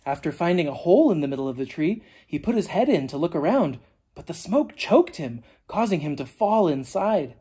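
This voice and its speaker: A storyteller reading a children's book aloud in an animated, deliberate way with dramatic emphasis. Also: medium pitch, husky. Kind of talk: expressive read-aloud narration for children.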